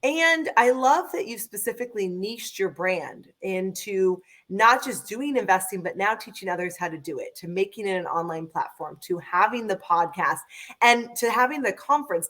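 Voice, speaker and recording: A woman's voice: medium pitch, expressive, normal speed, a bit bright. A woman hosting a podcast, introducing her guest with effusive enthusiasm. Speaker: podcast host. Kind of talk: upbeat interview hosting.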